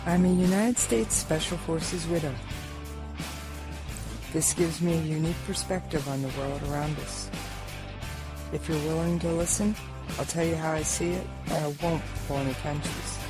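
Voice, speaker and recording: A woman with a low voice reads a scripted show intro in a blunt, plainspoken way. Music plays clearly beneath her. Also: steady tone, unhurried, long pauses. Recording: music bed behind voice.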